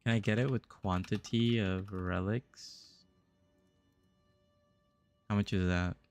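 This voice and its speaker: A man's voice: on the low side, fairly even intonation, slow pace, darkish tone, smooth, soft background music. A young adult man from the US East Coast, musing aloud and answering chat during a casual game stream. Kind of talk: casual live-stream chat while playing.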